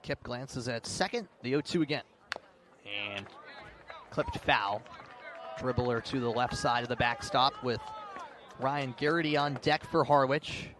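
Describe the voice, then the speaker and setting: A man's voice: medium pitch, unhurried, long pauses. A man doing live radio play-by-play, describing the action in detail and lifting his intensity for big plays. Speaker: play-by-play sports announcer. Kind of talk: live radio sports commentary. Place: outdoors at a ballpark or sports field. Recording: live outdoor broadcast with faint background noise.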